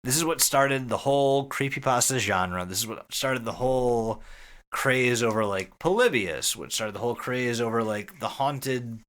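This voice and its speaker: Animated, bright-voiced man co-hosting a podcast. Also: mid-range voice, moderate pace. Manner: animated, joking, swears freely. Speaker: podcast co-host. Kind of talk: casual, profane podcast banter and story recap.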